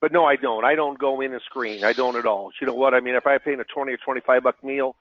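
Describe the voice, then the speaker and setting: A man's voice: mid-range voice, fairly even intonation, dry recording. A man answers webinar questions as a financial advisor. He stumbles and repeats words as he talks. His voice comes through a tinny phone line with a dry sound. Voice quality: halting, repeats words often.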